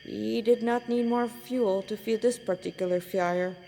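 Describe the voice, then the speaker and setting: A woman's voice: medium pitch, fairly even intonation, a little slow, creaky, dark tone, in a large hall, slight background noise. A woman reading a story aloud as narrator, an amateur who stumbles over phrases and repeats them. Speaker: amateur fan fiction author reading aloud.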